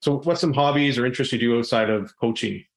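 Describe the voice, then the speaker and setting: A male podcast host who talks fast with a mid-range voice. He asks quick, friendly questions and chimes in to agree. The recording sounds roomy and echoey. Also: medium pitch. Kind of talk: casual podcast interview. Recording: echoey room.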